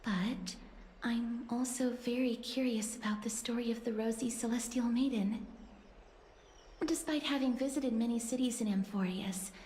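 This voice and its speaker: An actress playing a gentle, polite young woman in a video game, with a soft, courteous and wistful way of speaking. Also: on the high side, moderate pace, close to an everyday voice. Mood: curious, wistful. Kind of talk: scripted video game dialogue. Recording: roomy echo with faint ambient noise.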